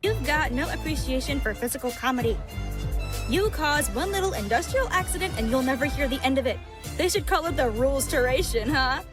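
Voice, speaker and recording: A woman voicing an excitable, mischievous young female lemur adventurer in a cartoon comic dub. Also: sits high, a little fast, music in the background. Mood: brash, reassuring.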